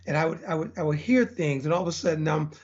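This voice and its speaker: A man's voice: a little high, fast, a little mellow, highs cut off. A middle-aged man from Texas, a podcast guest who rambles energetically with many restarts. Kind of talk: casual interview chat.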